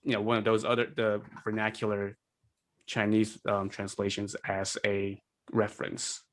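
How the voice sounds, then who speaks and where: A man explaining things in a measured, hesitant way during a casual analytical podcast discussion. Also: lowish voice, completely flat delivery, fairly bright tone. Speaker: podcast panelist.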